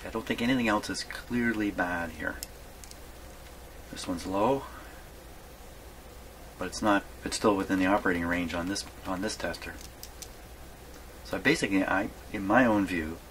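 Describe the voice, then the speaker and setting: A man giving a casual hands-on workshop demonstration, thinking aloud in a halting mutter and repeating his words. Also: sits low, fairly bright tone. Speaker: hobbyist radio repairer presenting his workshop video. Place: radio repair workshop. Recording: clearly noisy workshop recording.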